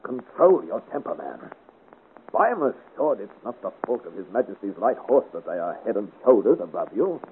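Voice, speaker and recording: A man's voice: on the low side, big pitch swings, moderate pace. An actor playing a bold, frank young swordsman hero in a 1950s radio adventure serial, speaking in formal, declamatory period style. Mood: firm, admonishing. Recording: noisy, narrow-band vintage radio recording.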